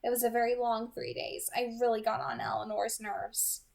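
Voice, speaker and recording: A woman's voice: fairly high pitch, level tone, moderate pace, vocal fry, a little mellow. A woman narrating a novel aloud as an amateur audiobook reader.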